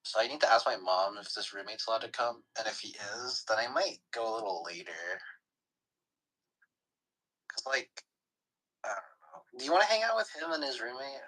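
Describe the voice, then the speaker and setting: A young man chatting casually with his partner, relaxed and teasing, laughing often. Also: medium pitch, moderate pace, pauses often, breathy edge, low-quality recording. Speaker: livestream co-host.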